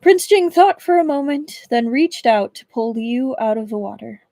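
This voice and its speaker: A woman livestreaming as a book reader, with expressive storybook narration and casual chat. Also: a little high, normal speed, no room sound.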